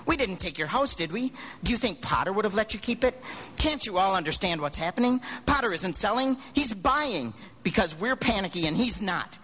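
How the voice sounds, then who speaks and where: A man's voice: sits high, a bit bright. A man playing an earnest, idealistic young savings-and-loan manager in a live radio drama, pleading and stammering at times. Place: theatre stage.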